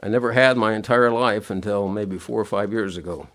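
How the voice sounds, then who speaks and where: A man's voice: fairly low pitch, brisk, fairly bright tone. A middle-aged man preaching a sermon, sounding nasal and sniffly from allergies, earnest and repeating his key words in plain homespun phrasing.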